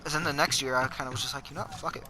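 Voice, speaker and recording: A man's voice: medium pitch, steady tone, rapid-fire, crowd noise. A young man chatting casually in a rambling, sweary storytelling way, as if to a friend while gaming. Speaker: gamer telling stories to a friend. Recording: noisy gaming voice chat with clicks.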